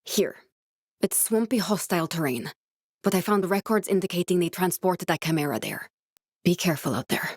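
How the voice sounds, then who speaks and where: A woman's voice: fairly low pitch, animated, moderate pace, breathy edge, no echo. Earnest woman scientist, very dry recording. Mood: urgent, cautioning. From born in Norway, raised in Glasgow (character). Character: earnest, guarded woman scientist guiding a survivor ally. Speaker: video game character voice. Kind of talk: naturalistic video game dialogue acting.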